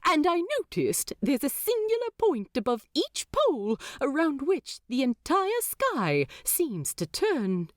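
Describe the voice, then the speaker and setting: A shy, earnest male angel, fussy and halting, stumbling over his words, as a woman puts him on. Slow delivery with a lively, rising and falling pitch. Dry, close storybook sound. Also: no echo, full character voice. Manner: hesitant, halting with many uhs.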